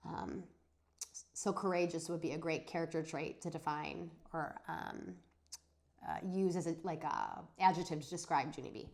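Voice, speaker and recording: A woman teacher giving an expressive read-aloud of a children's story, acting out the dialogue. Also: low voice, moderate pace, gravelly. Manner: animated, dramatizes dialogue. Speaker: teacher reading a chapter aloud for students.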